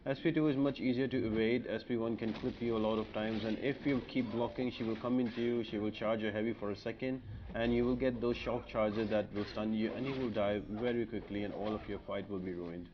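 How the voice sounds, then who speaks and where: A man's voice: lowish voice, fairly even intonation, brisk, muffled recording, noisy background. A man narrating a video game walkthrough as a guide, explaining tactics step by step.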